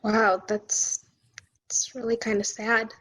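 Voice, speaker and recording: A woman's voice: on the high side, flat delivery, slow pace, a bit bright. A woman reading the part of a guarded, busy lawyer wife, curt and questioning, in a TV drama table read. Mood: guarded, dismissive.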